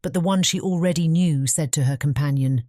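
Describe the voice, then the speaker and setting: A woman narrating a scripted fairy tale audiobook as a measured storyteller. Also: sits low, warm tone, no echo, studio quality.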